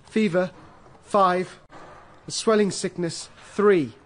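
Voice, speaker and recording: A man's voice: sits high, steady tone, takes it slowly. A man playing an officious parish clerk, reading records aloud in a clipped, impersonal way for a radio drama.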